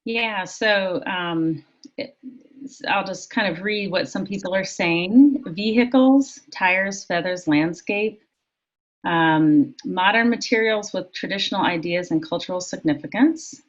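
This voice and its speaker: A woman co-presenter on a video call, reading participants' chat comments aloud one after another with short pauses. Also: mid-range voice. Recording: dull lo-fi video call audio.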